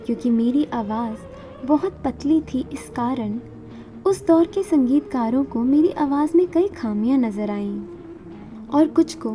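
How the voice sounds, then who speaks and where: A woman's voice: high-pitched, lively intonation, measured pace, dark tone. A woman whose native language is Marathi, narrating her own life story from a script as a singer.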